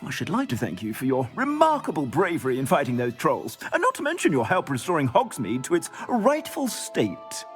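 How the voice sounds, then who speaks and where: A man's voice: on the high side, huge pitch swings, brisk, few pauses, faint music behind. An actor playing a theatrical, grateful shopkeeper in a video game, stretching out his words with flourishes and little hesitations. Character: flamboyant shopkeeper clothier, middle-aged man, grateful. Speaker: shop owner. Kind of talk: video game cutscene acting.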